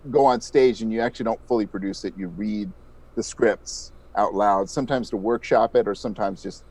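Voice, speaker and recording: A man's voice: fairly low pitch, a little mellow. Middle-aged man explaining in an interview. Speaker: interview guest, voice actor and audiobook narrator. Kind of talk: conversational interview.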